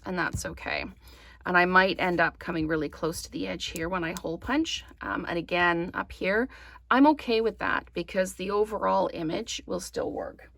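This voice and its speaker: A woman hosting a craft tutorial, slightly hoarse, chatting in a casual, apologetic way and thinking aloud with frequent ums. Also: on the low side, fairly bright tone. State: slightly hoarse, losing her voice. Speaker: crafting channel host.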